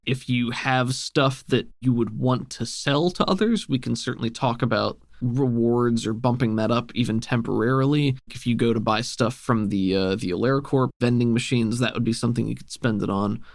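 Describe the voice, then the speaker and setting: A man's voice: mid-range voice, normal speed, gravelly, few pauses, dry recording, studio quality. A man acting as game master, narrating a tabletop game and explaining rules in a casual, conversational way.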